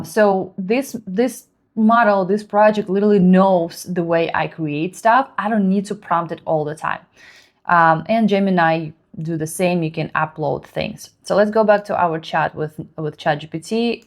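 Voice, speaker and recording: Woman, second-language English, slightly low voice, halting screencast talk-through. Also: gravelly. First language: Russian. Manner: halting, thinks aloud while typing, many fillers. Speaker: productivity vlogger. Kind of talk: screen-share tutorial.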